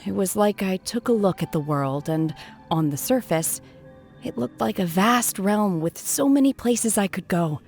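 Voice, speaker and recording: An actress voicing a reserved, melancholy young woman in a video game, measured and subdued. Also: mid-range voice, animated, a little mellow, faint music behind.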